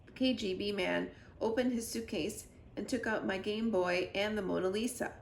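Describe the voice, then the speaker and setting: A woman's voice: medium pitch, moderate pace. A woman reading a children's story aloud expressively, as a library storyteller. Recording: roomy echo with clear background noise.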